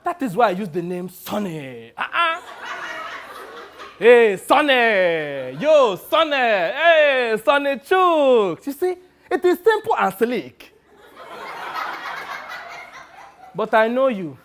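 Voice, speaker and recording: A man playing a brash, wheedling, money-minded talent agent with a Nigerian accent in a dramatic monologue, animated and full of exclamations. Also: high voice, normal speed, few pauses, a little hiss or hum, voice pushed up, full character voice. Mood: explaining, showy. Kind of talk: one-person dramatic monologue.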